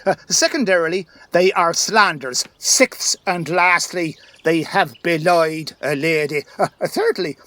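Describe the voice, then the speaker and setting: A bumbling constable in a comic Shakespearean radio play. His voice is very high for a man. He is self-important and pompous, hesitating and humming as he sets out each point. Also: unhurried, pushed and bright, no echo. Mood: officious muddled. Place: home recording.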